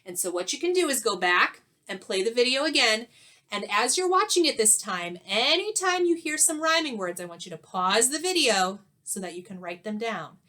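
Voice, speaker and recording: A woman teacher reading a children's story aloud expressively, with dramatic pauses and questions to young listeners. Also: mid-range voice, pushed and bright.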